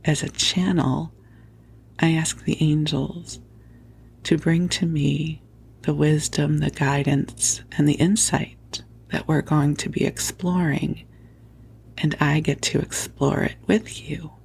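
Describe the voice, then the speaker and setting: Slow, whispering woman, soothing sleep host. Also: sits low, steady tone. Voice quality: hushed, breathy whispering. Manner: soothing, tender, unhurried, affectionate. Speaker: sleep podcast host and spiritual teacher. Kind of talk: whispered guided sleep talk, spiritual meditation. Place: at home under a blanket. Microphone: close microphone.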